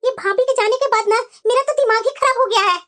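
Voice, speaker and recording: A woman's voice: very high voice, fast talker, fairly bright tone. A woman voicing a whiny, bossy married daughter in a moral-story cartoon, complaining and emphatic. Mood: grumbling. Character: whiny, bossy grown daughter, sharp-tongued wife.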